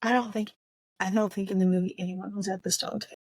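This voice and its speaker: A woman's voice: medium pitch, steady tone, fast talker, darkish tone, no room sound, clean studio sound. A woman chatting casually about books as a co-host, rambling a little and thinking aloud as she goes. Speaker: book podcast co-host. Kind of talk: casual book discussion chat.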